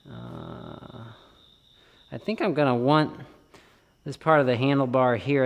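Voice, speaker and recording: A man giving a casual hands-on tutorial, thinking aloud informally as he works. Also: mid-range voice. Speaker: bike vlogger and host. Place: indoor garage or shed workshop with plywood walls. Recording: reverberant workshop room sound.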